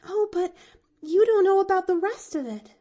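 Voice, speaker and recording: A woman's voice: lively intonation, takes it slowly, dull audio, full character voice. A woman reading an audiobook, voicing an eager little girl who stammers and pleads earnestly. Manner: stammering, eager, high and bright.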